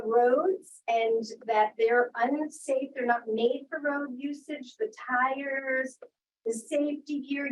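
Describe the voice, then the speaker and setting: A woman's voice: sits high, highs cut off. A woman speaking earnestly at a town meeting, arguing her point with statistics. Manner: earnest, argumentative, cites statistics. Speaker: resident speaking at public comment. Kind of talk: public comment at a town meeting. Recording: distant voice with faint hiss.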